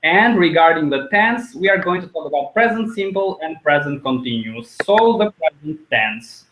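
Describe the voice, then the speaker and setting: Higher-pitched Albanian man teaching online. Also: expressive, normal speed, a bit bright, slight background noise. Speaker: language teacher, host. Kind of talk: informal online group lesson. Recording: dull lo-fi video call audio.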